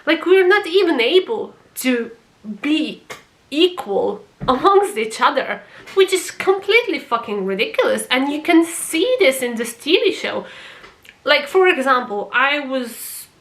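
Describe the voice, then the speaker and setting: A woman's voice: high-pitched, big pitch swings, a little slow. A woman from Croatia, not a native English speaker, talking passionately in a casual opinion vlog about a TV series and swearing for emphasis. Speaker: vlogger reviewing a TV series.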